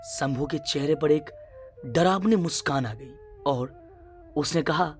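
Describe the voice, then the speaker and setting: A woman narrating a scripted suspense story in a dramatic, measured way, in short phrases. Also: low voice, faint music behind.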